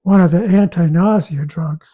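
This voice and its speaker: A man with a raspy, hoarse voice talking casually about his health, halting and repeating words as he goes. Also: high voice, phone-quality sound. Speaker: guest sharing a health update. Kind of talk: casual conversation.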